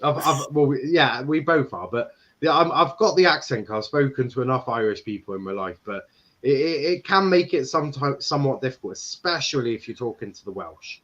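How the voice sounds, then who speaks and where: A man's voice: medium pitch, lively intonation, fairly quick, fairly bright tone. An English man hosts a football watchalong stream, joking with his co-hosts and reading chat messages aloud. His voice sits in the middle range. The mic sounds dull and lo-fi. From England. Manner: banter, reads chat comments aloud, laughs often. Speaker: stream host.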